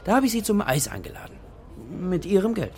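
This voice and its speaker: A man's voice: sits high, very animated, a little fast, darkish tone, slight background noise. An actor playing a reluctant but tender father in a German children's radio play. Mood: wry storytelling.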